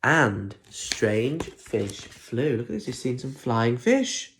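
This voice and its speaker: A man's voice: medium pitch, very animated, slow pace, noisy background. A man reading a picture book aloud to children with lots of expression, breaking off for chatty asides and questions. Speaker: primary school teacher reading aloud.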